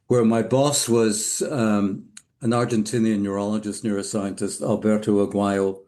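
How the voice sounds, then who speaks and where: An older man from the west coast of Scotland with a Scottish accent, telling his story as a podcast guest in an unhurried, reflective way. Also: fairly low pitch, level tone, warm tone. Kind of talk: casual interview talk.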